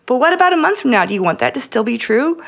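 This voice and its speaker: A woman's voice: high-pitched, sing-song, fast talker, gravelly. A woman co-hosting a podcast in casual, opinionated discussion, often arguing with rhetorical questions. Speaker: podcast co-host.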